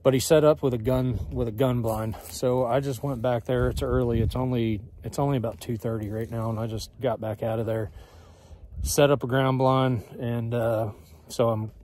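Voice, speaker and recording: A man in his forties with a Texan drawl talks into a phone held at arm's length, relaxed and plain-spoken, with easy fillers between thoughts. His voice sits at a middling pitch. A faint hum of the outdoors and the truck stays behind him. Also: normal speed. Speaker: bowhunter filming himself. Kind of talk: casual self-filmed hunting vlog. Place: pickup truck cab and outdoors on a farm. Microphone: phone camera at arm's length.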